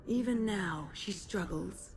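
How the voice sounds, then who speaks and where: A possessed warrior woman in a sci-fi game. She speaks very slowly, with cruel, gloating grandeur and dramatic menace. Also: medium pitch, darkish tone. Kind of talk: dramatic video game acting.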